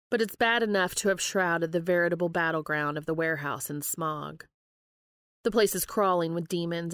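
A woman's voice: lowish voice, no room sound, clean studio sound. A woman hosting and reading fan fiction aloud as scripted narration. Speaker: podcast host and reader.

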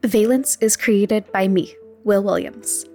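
A woman's voice: mid-range voice, slow pace, warm tone, faint music behind. A woman reading scripted closing credits as the creator of a podcast. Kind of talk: scripted narration.

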